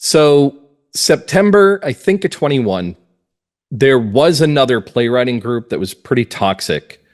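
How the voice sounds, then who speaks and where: A man's voice: mid-range voice, expressive, measured pace. A man speaking as an interview guest, explaining things earnestly and emphatically in a conversational way. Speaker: guest playwright.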